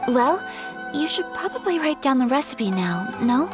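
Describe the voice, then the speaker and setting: Gentle refined young noblewoman. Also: high voice, sing-song, normal speed. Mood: polite. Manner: formal, polite and soft-spoken. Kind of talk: game cutscene voice acting. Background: game music.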